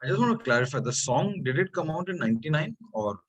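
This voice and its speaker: A man's voice: fairly high pitch, lively intonation, fast talker, lo-fi sound. A man taking part in a quiz, giving casual short answers and queries. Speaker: quiz contestant.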